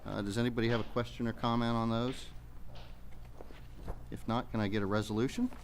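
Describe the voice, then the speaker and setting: A man's voice: mid-range voice, level tone, fairly quick. A man speaking as a mayor, running a public meeting and reading out procedural business. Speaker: mayor chairing a township committee meeting. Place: public meeting room with audience. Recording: faint background noise in meeting room.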